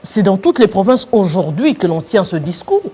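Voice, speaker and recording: A woman's voice: mid-range voice, very animated, moderate pace, mellow, breathy edge. A woman hosting a TV interview, assertive, pressing her guest and insisting on finishing her point. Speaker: TV talk show host.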